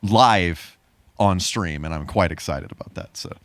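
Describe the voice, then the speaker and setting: A man's voice: low voice, unhurried, fairly bright tone, no echo. A man hosting a podcast in a casual, playful style with a goofy bit of showmanship. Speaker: podcast host.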